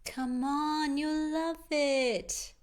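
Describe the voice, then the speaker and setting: Woman playing a high-pitched, coaxing motherly witch. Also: steady tone, drawn-out words. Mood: brisk coaxing. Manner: bossy, encouraging, urging.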